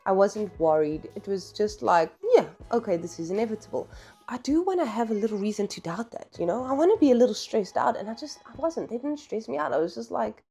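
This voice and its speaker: A woman chats through book opinions like a vlog host, in a medium-pitched voice with music behind her. She is candid and opinionated, leaning on slang and emphasis in a casual, conversational style. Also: expressive, brisk, vocal fry, dark tone, breathy edge.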